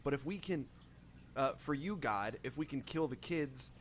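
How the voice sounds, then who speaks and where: Male game player, mid-pitched, casual improvised role-play, halting delivery that thinks aloud, faint background noise. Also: lively intonation.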